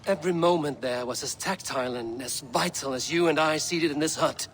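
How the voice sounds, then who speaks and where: An actor playing a grave, resolute Viking warrior in a video game, speaking in a solemn, measured, formal way. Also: male voice, fairly high pitch, fairly bright tone, slight background noise, lightly acted. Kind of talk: dramatic video game cutscene acting. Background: music.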